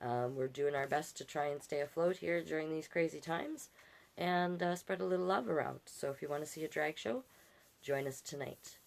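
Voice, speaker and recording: A woman hosting a livestreamed storytime, reading picture books in an animated way with chatty, encouraging asides to viewers. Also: deep voice, moderate pace, vocal fry. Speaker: storytime host and reader.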